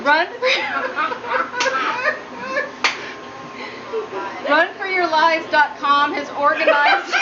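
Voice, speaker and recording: A woman's voice: sing-song, very slow, long pauses. A woman giving a humorous prepared speech as a student, with a nervous, giggly delivery. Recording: muffled low-quality audio, noticeable background noise.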